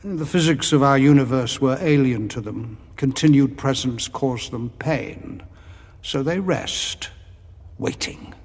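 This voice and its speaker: An actor playing a haughty alien zealot who serves ancient dark powers, speaking formally and solemnly in an audio drama. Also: male voice, mid-range voice, takes it slowly, long reverb tail. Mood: solemn, portentous.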